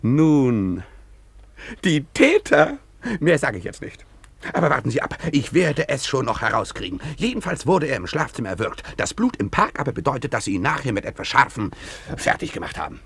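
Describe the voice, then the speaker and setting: An earnest young detective in a vintage German radio play. His voice is slightly husky and swings widely in pitch, and he lays out his points with officious, self-important emphasis. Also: sing-song, moderate pace, a bit bright, exaggerated voice. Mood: confident deducing. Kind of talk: 1950s German radio drama acting.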